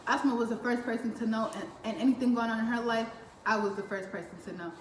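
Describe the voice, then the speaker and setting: A woman's voice: on the high side, steady tone, quick pace. A teenage girl chatting with her cousins, telling a story with lots of laughter and acted-out quotes. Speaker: vlogger. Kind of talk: casual storytime chat. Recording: roomy echo, faint hiss.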